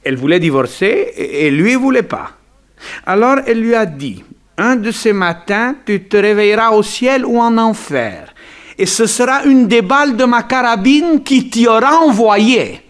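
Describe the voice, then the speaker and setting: A man playing a theatrical witness in a radio drama, recounting things in an emphatic, drawn-out way. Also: high voice, animated. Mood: ominous. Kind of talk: old French radio drama acting.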